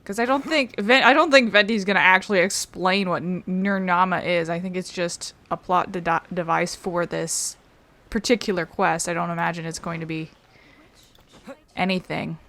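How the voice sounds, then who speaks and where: A woman's voice: on the low side, fairly even intonation, normal speed, fairly bright tone. A woman hosting a let's-play, chatting casually and talking back to the game's characters. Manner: chatty, talks to game characters. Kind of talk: casual game commentary.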